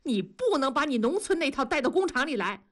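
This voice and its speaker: A woman's voice: sits high, big pitch swings, a little fast, fairly bright tone, pitched above the performer's own voice. A woman voicing a stern, fatherly middle-aged man, a factory master who lectures in an exasperated tone. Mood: scolding.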